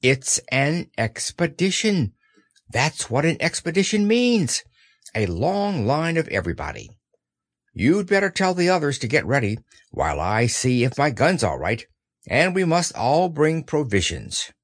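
A man plays a kindly young storybook boy who takes charge. The voice is fairly high and animated, explaining things with easy assurance. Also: measured pace, dry recording, clean studio sound. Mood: confident, patient. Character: confident, kindly young boy leading his animal friends.

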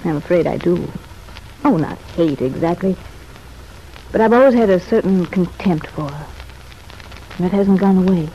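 A woman's voice: fairly low pitch, moderate pace, pauses often, dark tone, close to an everyday voice. An actress playing a cultivated, self-examining young woman convalescing in a sanitarium, speaking formal, articulate lines in an old radio soap opera. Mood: hesitant, confiding. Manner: formal, articulate, hesitant phrasing. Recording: muffled, noisy old radio recording.

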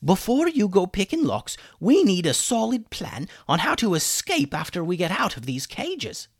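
A man's voice: high voice, wildly swooping pitch, moderate pace, husky, dry recording, voice pushed up, full character voice. A man voicing a sarcastic, weary male chicken wizard in a children's story. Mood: skeptical. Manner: sarcastic, dry mocking.

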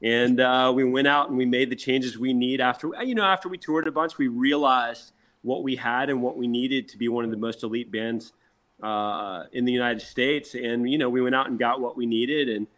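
A man with a mid-pitched voice speaks as a musician being interviewed. He tells his story casually but emphatically, with a proud, salesmanlike push behind his points. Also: steady tone, moderate pace, a bit bright. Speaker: rock band member being interviewed.

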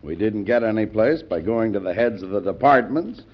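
An actor playing an impatient, combative American senator in an old-time radio drama, blunt and doubting. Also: male voice, fairly low pitch, expressive, moderate pace, husky. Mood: frustrated. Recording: dull, lo-fi old radio recording.

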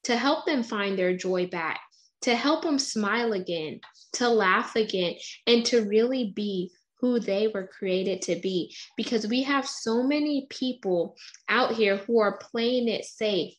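A woman's voice: medium pitch, unhurried, rough recording. A woman hosting an interview, responding with enthusiastic, motivational phrasing. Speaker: interview host.

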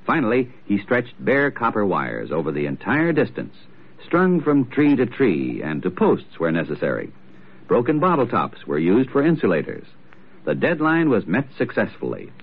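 A man's voice: fairly low pitch, huge pitch swings, normal speed, breathy edge. A man delivering earnest, declamatory scripted narration in the style of 1940s radio. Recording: tinny old radio recording.

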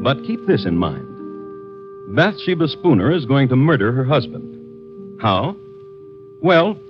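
A man narrating a scripted true-crime radio drama as host, with a wry, dramatic, deliberate storytelling manner. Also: lowish voice. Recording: dull vintage radio recording.

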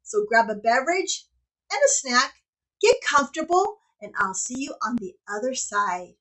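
A woman's voice: high voice, sing-song, normal speed, fairly bright tone, rough recording. Bubbly woman podcast host. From Mexican heritage. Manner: upbeat and gushing, with frequent laughs. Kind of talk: casual interview chat.

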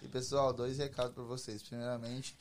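A man's voice: mid-range voice, little pitch movement, a little fast, noisy background. A man running the cameras on a podcast, chatting in a joking, playful way. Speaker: camera operator and co-presenter. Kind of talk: casual podcast chat.

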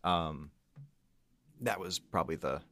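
A man's voice: low-pitched, slow pace, bright, forceful tone, a little hiss or hum. A man on an audio production team, explaining his work in a casual discussion. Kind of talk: casual behind-the-scenes discussion.

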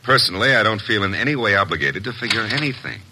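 An actor playing a cold, guarded husband under suspicion, clipped and dismissive, in 1950s radio drama style. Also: male voice, fairly low pitch, expressive, quick pace, background noise. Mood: haughty, defiant. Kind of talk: 1950s radio detective acting.

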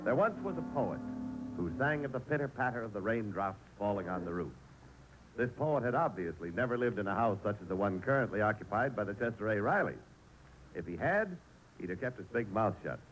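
A man's voice: on the low side, sing-song, brisk, soft background music, low-quality recording. A man narrating a 1940s radio comedy as announcer, with a wry storytelling manner.

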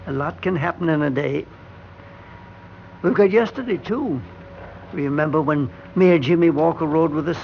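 A kindly, stubbornly hopeful elevator man in his seventies. His voice is gravelly and a little high, with a folksy, halting tenderness. It is a vintage radio play with a muffled sound. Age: older. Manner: folksy, chuckling, hesitant, talks tenderly. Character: kindly 73-year-old elevator operator, sentimental and stubbornly hopeful. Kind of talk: old-time radio drama acting. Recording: dull, hissy vintage radio recording.

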